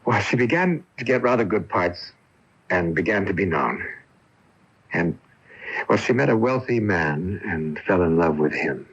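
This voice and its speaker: Lovesick male ghost, theatrical radio drama, low husky voice, fervent and wistful, dull lo-fi broadcast tape. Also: lively intonation, a little slow, fairly bright tone, full character voice. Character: lovesick male ghost of a jilted suicide, intense and pleading. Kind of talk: radio mystery drama acting.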